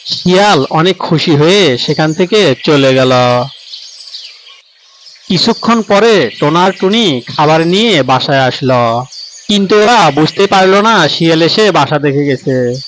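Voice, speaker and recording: A man's voice: a little high, expressive, a little slow, fairly bright tone, slight background noise, poor audio. A man narrating a scripted children's story as the storyteller.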